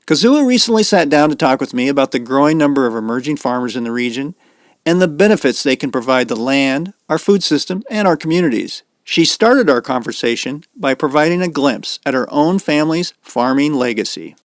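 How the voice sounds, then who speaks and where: A man's voice: mid-range voice, animated, fairly quick, dry recording, studio quality. A man with an American accent reading scripted narration as a podcast host.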